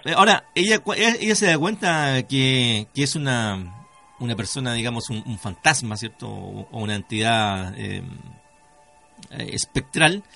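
A man hosting a radio show, talking conversationally and haltingly, often checking with tag questions. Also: medium pitch, expressive, gravelly, bright, forceful tone, faint music behind. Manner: halting, repeats words, seeks confirmation with tag questions.

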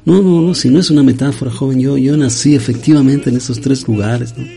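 A man's voice: mid-range voice, a little fast, creaky, mellow, breathy edge. A man voicing a pompous, absurd aging bolero crooner who is a guest on an interview show, in a comedy sketch. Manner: grandiose, addresses host as young man.

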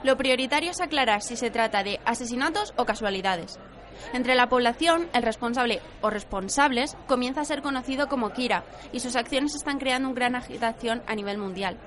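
A woman's voice: fairly high pitch, fairly quick, a bit bright, lightly acted. A woman playing an authoritative official addressing a police meeting in an anime radio drama, firm and formal. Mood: firm, grave. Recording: busy crowd murmur behind.

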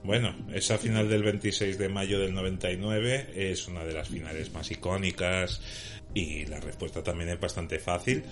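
Man with a very low voice, methodical contestant who reels off dates, music under him. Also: steady tone, moderate pace. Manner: thorough, reels off dates and scores. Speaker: guest playing contestant. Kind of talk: detailed football trivia answers.

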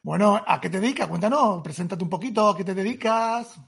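A man's voice: sits high, fairly quick, creaky, a bit bright, husky. A man hosting an interview, eager and prompting his guest, often ending lines with question tags. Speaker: podcast host.

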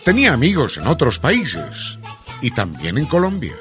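A man's voice: medium pitch, huge pitch swings, moderate pace, fairly bright tone, faint music behind. A man narrating a scripted radio drama in a storytelling manner.

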